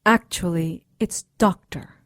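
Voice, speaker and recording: An actress playing an earnest, anxious doctor in an audio drama, polite but insistent. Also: female voice, fairly low pitch, slow pace, dry recording, studio quality. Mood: polite, firm. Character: earnest, anxious young female doctor seeking help.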